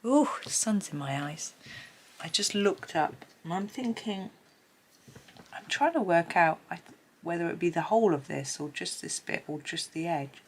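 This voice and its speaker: A low-pitched middle-aged British woman talks while colouring, her voice a little stuffy from a blocked nose. She rambles in a chatty way, with plenty of ums and little asides. Her pencil scratches faintly behind her. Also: animated, moderate pace, a little hiss or hum. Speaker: colouring channel host. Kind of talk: casual chat while colouring.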